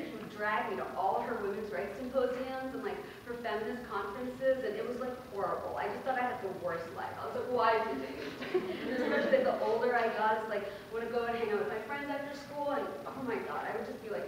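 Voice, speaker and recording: Young female speaker, conversational and earnest, recorded far off in a large hall with steady background noise. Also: on the high side, quick pace, hardly any pauses. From Bangladesh. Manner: earnest and conversational, with laughs and fillers. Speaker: workshop speaker. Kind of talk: conference talk. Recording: very echoey hall, mic far off.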